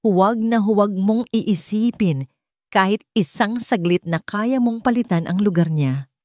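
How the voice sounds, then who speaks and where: A woman's voice: mid-range voice, a little slow, darkish tone, dry recording, clean studio sound, pitched above the performer's own voice, close to an everyday voice. A woman voicing a stern, wealthy grandmother in an audio story, cold and commanding, with grief underneath. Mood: cold warning. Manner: commanding, warning, haughty.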